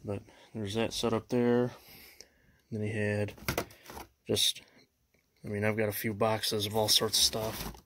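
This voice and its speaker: A young man chatting casually in a vlog, halting and full of fillers, filming indoors at a house. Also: on the low side, completely flat delivery, noisy background. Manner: halting, many fillers, unsure. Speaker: vlogger. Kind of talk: casual show-and-tell vlog.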